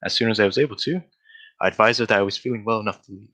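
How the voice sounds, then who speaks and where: An actor playing a shrewd, confident gentleman detective in old-style radio drama, politely explaining himself in an assured manner. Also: fairly low pitch, rapid-fire. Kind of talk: 1940s radio drama acting.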